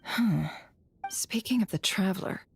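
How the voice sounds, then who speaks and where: A woman playing a composed, gentle young woman in a game, speaking in a thoughtful, measured, poised way. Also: mid-range voice, vocal fry, soft background music. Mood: soft, thoughtful. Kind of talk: video game voice acting.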